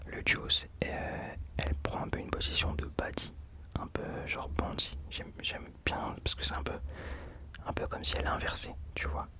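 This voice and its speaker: A young man whispering casual ASMR commentary as a host, hesitantly searching for his words. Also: normal speed.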